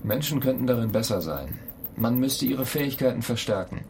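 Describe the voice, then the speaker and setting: A man's voice: medium pitch, lively intonation, normal speed, darkish tone, natural voice. A man playing an irritable, ambitious astronaut in a radio play, blunt, impatient and argumentative. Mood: insistent. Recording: clear noise bed behind the voice.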